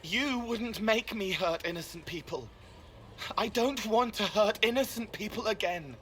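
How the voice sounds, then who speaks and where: A man playing a gentle, otherworldly young spirit in a video game, speaking earnestly in halting fragments. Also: high-pitched, faint music behind. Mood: earnest, fragile. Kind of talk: video game cutscene dialogue.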